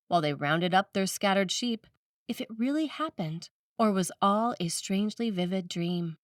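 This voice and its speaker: A woman's voice: medium pitch, a bit bright, no room sound, clean studio sound, distant mic. A woman priest reading a scripted sermon aloud.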